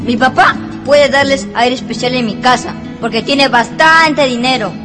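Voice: high voice, moderate pace, music in the background, natural voice. An actor playing a spoiled, boastful rich schoolboy in a radio drama, bratty and shameless. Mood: boastful, bossy. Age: child figure. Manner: bratty, bragging. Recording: long hall reverb.

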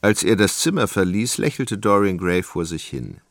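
A man narrating a literary radio drama from a script in a measured, dramatic storytelling manner. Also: on the low side, animated, no echo, clean studio sound.

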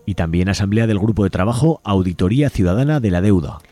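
A man's voice: lowish voice, quick pace, dark tone, no room sound. A man with an accent from Spain, hosting a community radio show and reading out event listings. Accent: Spanish from Spain.

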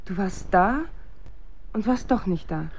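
An anxious wife in a dystopian radio drama. Her mid-pitched voice is dark and soft in tone, full of hesitant, sceptical questions, over faint background noise. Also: lively intonation, a little slow. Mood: shaken, anxious. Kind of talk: German radio drama acting.

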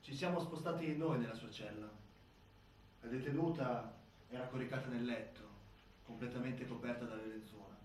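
A man acting a measured expert examiner giving testimony on stage in a very echoey hall. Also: mid-range voice, a little mellow, natural voice. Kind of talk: documentary theatre testimony. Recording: very echoey hall, distant, faint noise.

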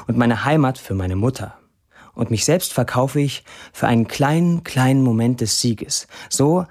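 An actor playing a young, disillusioned Syrian man who delivers a sardonic, deliberate confessional monologue in a radio drama. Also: mid-range voice, a little mellow, natural voice.

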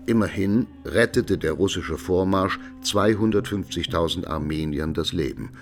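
An actor playing a learned expert witness in a radio drama, giving a formal, deliberate, lecturing courtroom statement. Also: male voice, low voice, music in the background. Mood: sober, lecturing.

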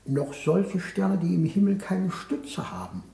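An actor playing a dryly skeptical, pedantic court mathematician in a 1960s German radio play, measured and politely evasive. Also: male voice, high-pitched, sing-song, vocal fry, dark tone, roomy sound, heavily acted.

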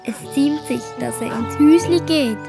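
A woman's voice: high-pitched, unhurried, gravelly. A woman playing a sweet, quaint five-year-old boy with a Swiss German accent and old-fashioned diction in a radio drama. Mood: courteous, sweet. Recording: music behind the voice.

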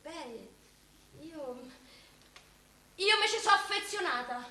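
A high, bright-voiced Roman working-class woman, tender and plain-spoken. She speaks in dialect, as in a naturalistic radio play. The sound is roomy, with faint noise behind. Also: lively intonation, slow, heavily acted. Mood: troubled, hesitant. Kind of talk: naturalistic stage-style radio drama acting in dialect.

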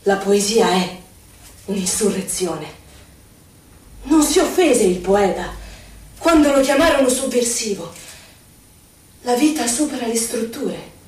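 An actress playing a solemn poetic narrator, reciting in measured, declamatory, weighted phrases for a radio drama. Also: female voice, fairly high pitch, expressive, darkish tone. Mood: solemn, rousing. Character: solemn female poetic narrator of political betrayal and struggle. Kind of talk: theatrical poetry recitation for radio. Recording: echoey, distant, noticeable noise.

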